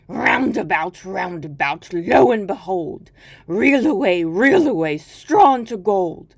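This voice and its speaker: A woman's voice: mid-range voice, expressive, takes it slowly, gravelly, slightly rough, no echo, exaggerated voice. A woman voicing a droll little male hobgoblin, a sly bargainer with an emphatic, singsong manner, in a storybook reading. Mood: gleeful singsong.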